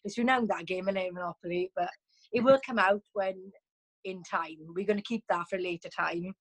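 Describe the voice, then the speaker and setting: A woman's voice: fairly low pitch, a little fast, poor audio. A woman talking casually as a guest over a video call, chatty, with frequent ums and restarts.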